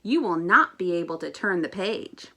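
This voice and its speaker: A woman's voice: lowish voice, expressive, moderate pace, pushed and bright, pitched below the performer's own voice, full character voice. A woman voicing an anxious, exasperated male furry monster from a children's storybook, theatrical and pleading. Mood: exasperated, worried. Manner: exasperated, emphatic, theatrical delivery.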